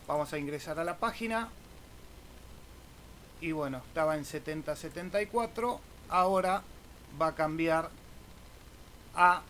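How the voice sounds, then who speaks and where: A man's voice: a little high, fairly bright tone, a little hiss or hum, rough recording. A man with an Argentine Spanish accent explaining things informally as a video host, hesitating and adding little checking tags. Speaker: amateur radio operator and video host. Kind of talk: informal screen tutorial.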